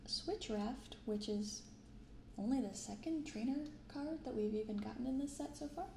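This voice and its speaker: A young adult woman speaking softly and unhurriedly in a hushed ASMR style as she hosts a casual show-and-tell. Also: on the high side. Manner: hushed, unhurried reading aloud and chatting. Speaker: YouTube card-opening host. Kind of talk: soft-spoken ASMR, casual commentary. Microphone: close binaural microphone. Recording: roomy sound with clear background noise.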